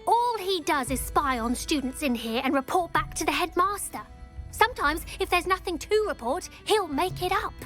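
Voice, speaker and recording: A woman's voice: extremely high pitch, expressive, normal speed, fairly bright tone, soft background music. A woman playing a brazen, scornful teenage schoolgirl troublemaker in a video game, with a British accent and a wry, mocking manner. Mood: defiant, scornful. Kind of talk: video game character acting.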